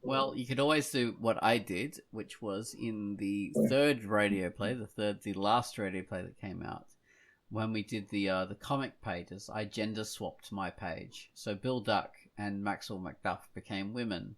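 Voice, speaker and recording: A man's voice: on the low side, fairly even intonation, measured pace. A man hosting a podcast as a casual chat, rambling along in a jokey way. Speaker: podcast host.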